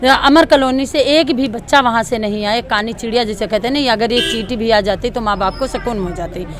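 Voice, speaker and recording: High-voiced woman speaking out. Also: brisk. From Delhi, India. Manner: emphatic, repetitive. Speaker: local protester interviewed. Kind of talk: impassioned street interview. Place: outdoors at a protest. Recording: outdoor street with crowd noise.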